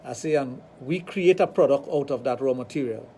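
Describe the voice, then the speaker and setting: A man with a Trinidadian accent, hosting a radio talk show and telling animated stories with acted-out dialogue. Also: medium pitch, a little fast, a little hiss or hum. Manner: animated storytelling, acts out dialogue. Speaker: radio show host, sexologist.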